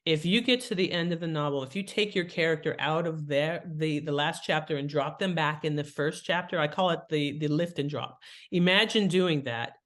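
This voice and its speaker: A woman's voice: deep voice, moderate pace. A woman speaking as a guest author in an interview, explaining things conversationally and instructively with examples. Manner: instructive, illustrates points with examples. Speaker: guest author and writing teacher.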